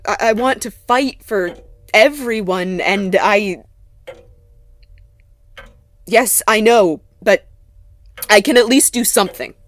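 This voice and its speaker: An actress playing a wry, guarded woman in a naturalistic audio drama, talking haltingly and gently. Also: mid-range voice, no room sound, natural voice. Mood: frustrated, wistful. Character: Sophie, warm, wry, guarded woman mechanic.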